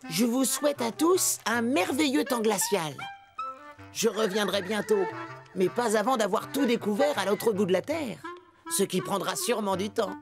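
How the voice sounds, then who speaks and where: A woman's voice: medium pitch, animated, normal speed, close to an everyday voice. A woman voicing a young, cheeky, playful penguin in a French children's cartoon, with an eager, exclamatory delivery. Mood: cheerful narrating. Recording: prominent music behind the voice.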